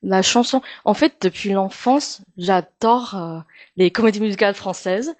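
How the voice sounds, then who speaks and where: A young woman from Taiwan, Mandarin her first language, speaking French with a Taiwanese accent as an interview guest, pausing often and filling gaps with hesitations. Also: mid-range voice, a little mellow, no echo. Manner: hesitant, many pauses and fillers. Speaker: guest, actress and singer. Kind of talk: casual interview chat.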